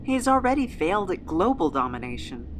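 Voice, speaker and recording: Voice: medium pitch, very animated, a little fast, a little hiss or hum, natural voice. A performer playing a resigned but argumentative captive with a dry, sarcastic manner in a comedic audio drama. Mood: sardonic. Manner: dry, sardonic.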